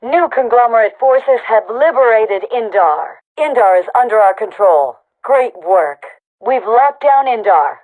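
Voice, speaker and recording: An actress playing a brisk female rebel army commander in a video game, giving clipped, authoritative battle announcements through a radio filter. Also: on the high side, expressive. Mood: satisfied, proud. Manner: clipped, authoritative military delivery. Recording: tinny, band-limited radio comms sound.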